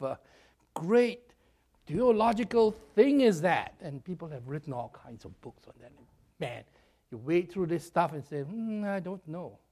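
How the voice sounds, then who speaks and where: A man preaching a sermon, his voice slightly raspy and hoarse after a cold, talking in an animated, chatty way with jokes at his own expense and stretches of storytelling. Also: a little high.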